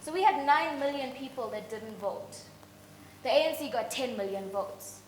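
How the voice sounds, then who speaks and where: A woman's voice: high voice, normal speed, a little hiss or hum. A woman on a panel arguing pointedly with rhetorical questions. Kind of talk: panel discussion. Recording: echoey room.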